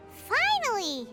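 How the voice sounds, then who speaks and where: A woman voicing a small, childlike fairy companion in a video game, with a squeaky, cutesy, blunt and cheeky delivery. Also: exaggerated voice. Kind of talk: video game cutscene dialogue. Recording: music behind the voice.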